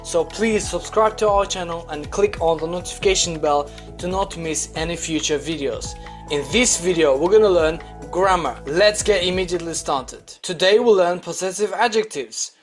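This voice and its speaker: A man teaching a scripted English grammar lesson in a deliberate, instructional way, repeating example sentences. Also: high voice, soft background music.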